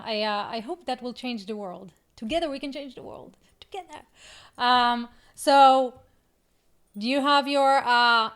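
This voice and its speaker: A woman's voice: a little high, fairly bright tone. Israeli woman hosting a live stream. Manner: upbeat, laughs often, fillers. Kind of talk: casual live stream chat.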